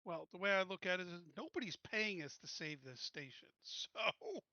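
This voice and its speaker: A man's voice: high-pitched, very animated, normal speed, sharp tone, no echo, muffled recording. A man playing a tabletop game, arguing his case casually and bluntly.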